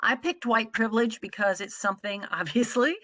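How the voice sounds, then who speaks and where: Bright-voiced American woman presenting. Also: fairly high pitch, fairly quick, no echo. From Midwestern United States. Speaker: trainer presenting on a conference call. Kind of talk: explanatory presentation.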